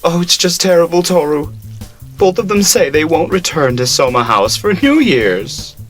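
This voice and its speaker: A man's voice: a little high, very animated, normal speed, music in the background. A man playing a wry, teasing adult guardian in an amateur anime-style radio drama, mock-dramatic as he explains things. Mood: mock weary.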